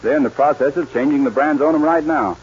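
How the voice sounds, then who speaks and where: An actor playing a bold, resolute young American cowboy with folksy phrasing, in a 1940s radio western. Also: male voice, fairly high pitch, quick pace, a little mellow, close to an everyday voice. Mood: bold, determined. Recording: muffled, hissy 1940s radio recording.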